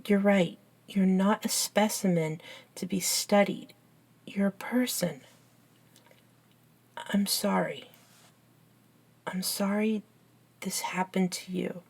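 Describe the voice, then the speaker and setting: A woman playing an earnest, bookish young best friend in an ASMR audio roleplay, soft-spoken and hesitant, with pauses. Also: mid-range voice, fairly even intonation. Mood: apologetic. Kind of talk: ASMR roleplay acting, one side of a dialogue.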